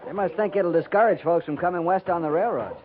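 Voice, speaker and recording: An American actor playing an earnest, troubled young cavalry lieutenant in a 1950s radio western, questioning and dutiful. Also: male voice, sits high, fast talker, crowd noise, lightly acted. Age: young adult. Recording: tinny old radio recording, busy background.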